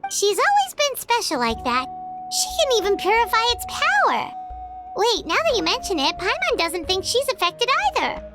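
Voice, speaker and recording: Super high squeaky little fairy buddy, bouncy and excitable, game voice with loud music under it. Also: female voice, normal speed, exaggerated voice. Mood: cheerful. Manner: speaks of herself by name, exclaims. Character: tiny floating fairy companion, excitable, speaks of herself in third person.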